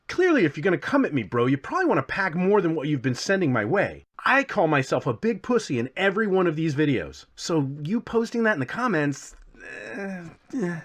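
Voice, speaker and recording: A man's voice: fairly high pitch, fairly bright tone. A man hosting a casual scripted game review with playful, self-deprecating asides. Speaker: video game reviewer and channel host.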